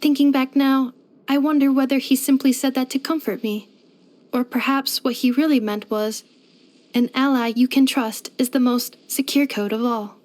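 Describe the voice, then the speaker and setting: A woman's voice: high-pitched, fairly even intonation, a little mellow, lightly acted. A woman voicing a polite, earnest female robot in a video game, speaking formally and precisely. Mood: gentle, nostalgic. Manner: formal, precise, measured delivery with clinical machine-like phrasing. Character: polite female robot maid, earnest, gentle and literal-minded. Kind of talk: scripted video game character voice lines.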